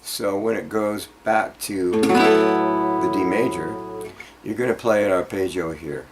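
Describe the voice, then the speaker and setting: Low, slow-talking man teaching guitar. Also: poor audio. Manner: halting, explanatory, checks understanding with "okay?". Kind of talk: informal instrumental lesson, demonstrating phrases. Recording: loud acoustic guitar alongside the voice. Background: guitar being played between phrases.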